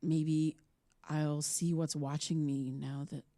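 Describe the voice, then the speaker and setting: A woman's voice: sits low, little pitch movement, slow, mellow, no echo. An actress playing a troubled, haunted woman podcaster, earnest and hesitant, in a naturalistic audio drama. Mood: brooding, determined.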